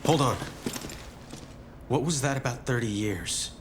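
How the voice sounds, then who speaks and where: A man's voice: medium pitch, lively intonation, measured pace, noisy background, natural voice. An actor playing a determined young male soldier in a video game, curt and questioning. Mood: startled. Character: determined young male soldier seeking answers about his father. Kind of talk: English-dubbed video game cutscene acting.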